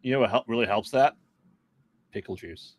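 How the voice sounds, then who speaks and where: A man's voice: medium pitch, moderate pace. A man co-hosting a casual podcast, making dry, laid-back jokes. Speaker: podcast co-host.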